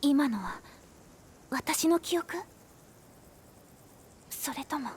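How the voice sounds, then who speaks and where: A woman playing a gentle, polite young girl in an anime game, speaking hesitantly. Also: sits high, expressive, extremely slow, a little hiss or hum, full character voice. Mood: wondering. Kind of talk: Japanese anime game voice acting.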